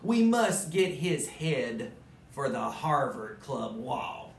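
Reader doing a storybook hunter, shouting, slow barked commands, echoey, distant. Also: medium pitch, big pitch swings. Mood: commanding. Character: gruff hunter barking orders to shoot. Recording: roomy echo, from far off, faint noise.

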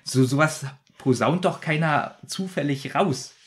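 A man's voice: a little high, measured pace, fairly bright tone. A man co-hosting a podcast in casual debate, insistently repeating his point. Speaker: podcast co-host.

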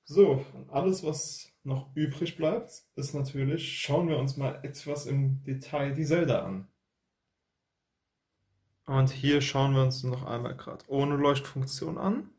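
A man's voice: medium pitch, measured pace, darkish tone. A man hosting an unboxing, giving casual product review commentary.

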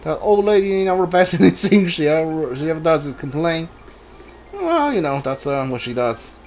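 A man's voice: a little high, big pitch swings, moderate pace, a little mellow, a little hiss or hum. A man giving casual video game playthrough commentary, mumbling his thoughts aloud as he plays. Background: game music.

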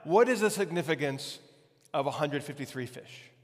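Man in his forties, high voice, pastor, conversational sermon, emphatic repetition, long hall reverb. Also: expressive, moderate pace. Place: large hard-walled church hall, on stage.

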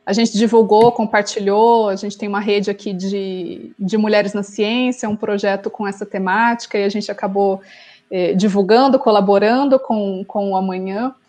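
A woman from Brazil, host of an online event, asks a long, polite question with many pauses and restarts over a video call. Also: medium pitch, fairly even intonation, a little mellow. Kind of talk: hesitant interview question. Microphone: earphone mic on a video call.

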